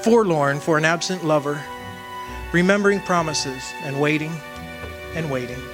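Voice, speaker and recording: A man playing a wistful old soul in a radio drama, remembering his lost love in measured, poetic, solemn narration. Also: fairly high pitch, lightly acted. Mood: sorrowful, haunted. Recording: music behind the voice.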